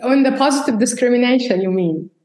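A woman's voice: fairly high pitch, brisk, a little mellow, echoey room. A woman whose first language is Arabic, answering interview questions in an earnest way, often restarting sentences and checking in with "right?". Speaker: interview guest.

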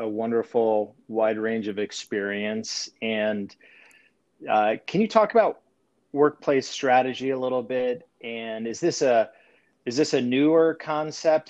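A man hosting a conversational podcast interview, putting his questions in a slightly halting way. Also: medium pitch, darkish tone, no echo, highs cut off.

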